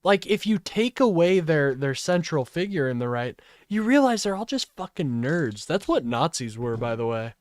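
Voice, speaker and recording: A man talking casually on a livestream, blunt and sarcastic, swearing freely. His pitch swings a lot as he reacts, and the sound is close and dry with no room echo. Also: big pitch swings, moderate pace, hardly any pauses. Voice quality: says his voice is high-pitched. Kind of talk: casual political commentary.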